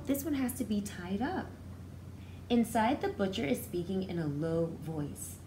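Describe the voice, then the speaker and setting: A woman teacher reading a storybook aloud to young children, expressively, pausing to explain it in simple, repeated words. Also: mid-range voice, warm tone, slight background noise, poor audio.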